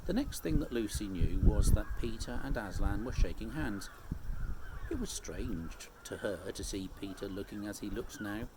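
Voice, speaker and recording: A man reading a children's story aloud like a teacher, in an expressive storytelling style. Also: lowish voice, normal speed, very noisy.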